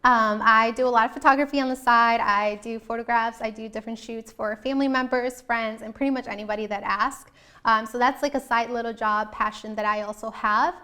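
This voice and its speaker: A woman's voice: on the high side, steady tone, a little fast, pushed and bright, clean tone. A young woman from the US Midwest, born in Colombia, hosting a show and talking informally and earnestly to viewers about herself, often rephrasing as she goes. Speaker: show host.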